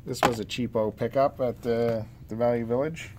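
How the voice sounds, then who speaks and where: A man's voice: mid-range voice, steady tone, moderate pace. Man chatting casually over noticeable background noise. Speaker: podcast co-host showing collection pickups. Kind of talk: casual chat, showing off purchases. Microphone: handheld phone camera mic. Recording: noticeable background noise, amateur phone video.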